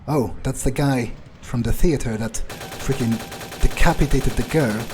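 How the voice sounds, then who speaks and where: A man's voice: medium pitch, moderate pace, background noise. A man improvising in-character commentary over a game, thinking aloud hesitantly, repeating words and swearing casually. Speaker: gamer doing in-character playthrough.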